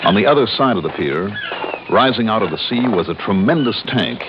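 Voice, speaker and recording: An actor playing a wry, tough insurance investigator in a 1960s radio drama, with clipped, sardonic narration and dialogue. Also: male voice, lowish voice, expressive, brisk, heavy background noise, close to an everyday voice. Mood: narrating, weary. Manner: wry, sardonic, clipped hard-boiled delivery. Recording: dull old radio recording with loud music.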